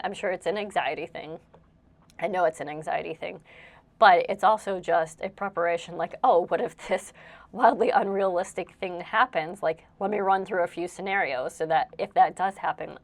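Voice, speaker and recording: Woman, low voice, gravelly edge, slightly congested, rambling chatty narration. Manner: rambling, self-deprecating, laughs often. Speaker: cleaning vlogger.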